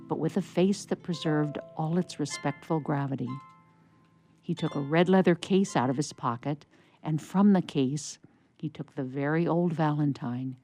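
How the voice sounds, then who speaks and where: Low, creaky-voiced woman narrator. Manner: measured storytelling. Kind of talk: scripted story narration for radio theatre.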